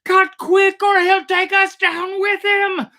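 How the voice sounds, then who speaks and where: Storyteller playing a shouting, high-pitched bold whaler. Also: little pitch movement, heavily acted. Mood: frantic. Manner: shouted, urgent, drawn-out commands. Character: bold, exultant whaler shouting orders to his crew.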